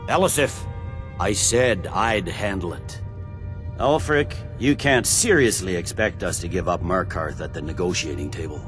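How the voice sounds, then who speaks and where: An actor voicing a stern, impatient middle-aged general in a fantasy game, clipped and commanding. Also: male voice, sits low, a little slow, over music. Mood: stern, angry. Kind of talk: dramatic fantasy game dialogue.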